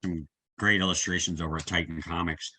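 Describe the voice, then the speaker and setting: Guy hosting a casual interview on a video call, voice a little on the low side. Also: steady tone, fairly quick, bright, forceful tone. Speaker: interviewer, host.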